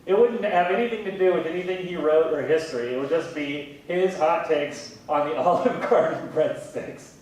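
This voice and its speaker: A man in his thirties chatting casually in an interview, with a wry, gently self-deprecating way of talking. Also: moderate pace, few pauses. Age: 30s. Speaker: museum communications specialist, interviewee. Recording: very echoey room, distant mic, faint rumbling noise.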